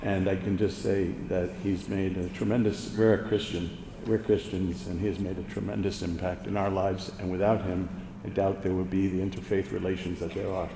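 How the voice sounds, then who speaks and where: A man giving a heartfelt, halting tribute as a guest at a synagogue event. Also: low voice, little pitch movement, dark tone. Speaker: congregation friend and guest. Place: synagogue hall. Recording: echoey hall with room noise.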